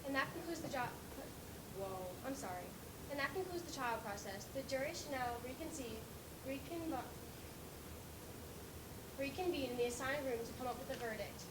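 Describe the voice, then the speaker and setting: A woman's voice: high-pitched, brisk, lightly acted. A young woman playing a stern, flustered judge in an amateur stage play, formal and commanding but stumbling over words. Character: stern, flustered fairy-tale judge presiding over a trial. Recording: roomy noisy stage recording.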